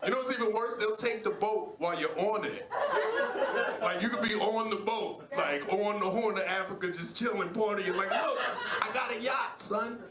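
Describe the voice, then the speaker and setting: A man performing a stand-up comedy set, telling an animated story and acting out voices on a club stage. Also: sits high, moderate pace, over a noisy crowd. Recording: echoey club with heavy noise.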